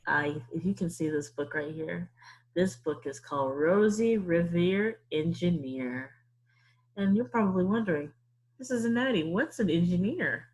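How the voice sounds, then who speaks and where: A woman with a fairly low, slightly husky voice teaches young children. She is animated and playful, guiding them through a read-aloud and asking them questions as she goes. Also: moderate pace, poor audio. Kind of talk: interactive read-aloud lesson.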